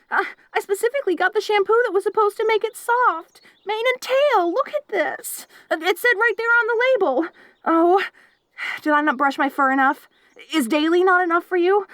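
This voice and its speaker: A woman's voice: very high-pitched, fairly bright tone, no echo, studio quality. A woman voicing a clingy, obsessive young wolf girl in an audio roleplay, giggling and stammering as she rambles eagerly. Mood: frantic.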